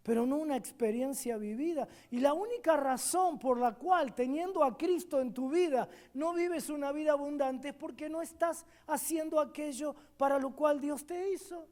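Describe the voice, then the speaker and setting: A man with an Argentine accent preaching a sermon as a guest pastor at a church service. He swings between loud, emphatic declarations and quiet asides. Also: extremely high pitch, normal speed, few pauses.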